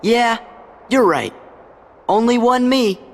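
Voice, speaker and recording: A man's voice: extremely high pitch, expressive, slow, full character voice. An actor playing an earnest, upbeat teenage boy hero in a video game cutscene. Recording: long echoing reverb, faint music.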